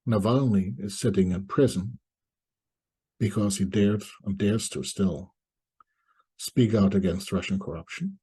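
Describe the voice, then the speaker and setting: A middle-aged man from East Germany, giving reflective spoken commentary to viewers in deliberate short phrases with pauses. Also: lowish voice, dark tone, breathy edge. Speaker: commentator presenting a video essay.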